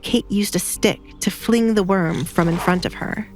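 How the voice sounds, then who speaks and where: A woman's voice: mid-range voice, moderate pace, darkish tone, faint music behind. A woman narrator reading a scripted romantic drama in a storytelling style.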